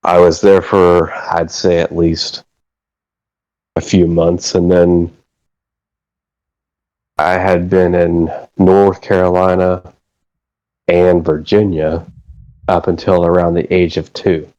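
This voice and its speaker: A man from the United States, a guest on a casual phone call, talking in a hesitant, halting way. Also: deep voice, little pitch movement, a little mellow, no echo.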